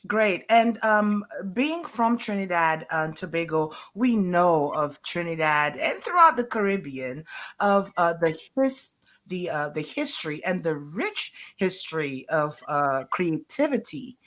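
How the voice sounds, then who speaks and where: A woman hosting a community radio interview, speaking in a formal, deliberate, courteous way. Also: lowish voice, very animated, a bit bright. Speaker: radio show host.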